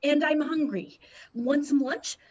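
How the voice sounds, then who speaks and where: A woman playing a calm, haunted mother in a radio play, soothing, earnest and cryptic, stubborn in what she believes. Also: sits high, a little slow, muffled recording. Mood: coaxing.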